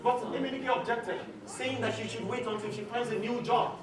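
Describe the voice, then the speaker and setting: A male stage actor with a Nigerian accent narrates theatrically in a high register. He is heard from a distance in an echoey hall, with music behind him. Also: fairly even intonation, normal speed. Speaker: stage actor narrating a one-man play. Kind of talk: theatrical scripted storytelling.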